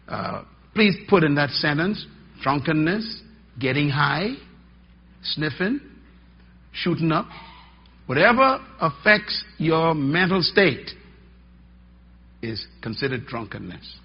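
A middle-aged man preaching a teaching sermon, emphatic and folksy, swinging between loud stresses and quiet drops. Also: mid-range voice, breathy edge. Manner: emphatic, rising and dropping for effect, folksy asides. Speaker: pastor. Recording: dull lo-fi sermon recording.

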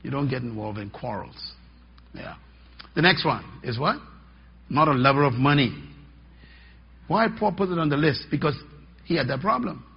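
A middle-aged man preaching a teaching sermon, emphatic and folksy, swinging between loud stresses and quiet drops. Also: on the high side, lively intonation, normal speed, pauses often, husky. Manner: emphatic, rising and dropping for effect, folksy asides. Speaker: pastor. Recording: dull lo-fi sermon recording.

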